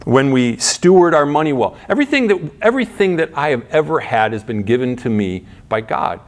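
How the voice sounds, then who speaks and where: A middle-aged man giving a sermon in a conversational way, asking rhetorical questions, restarting his sentences and shifting between quiet asides and raised points. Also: mid-range voice, sing-song, a little fast. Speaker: pastor.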